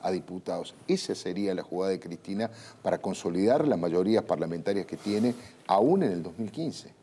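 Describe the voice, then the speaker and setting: A man's voice: low voice, lively intonation, fairly quick, mellow, slightly rough. A man with an Argentine Rioplatense accent hosting a political talk show. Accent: Argentine Rioplatense Spanish.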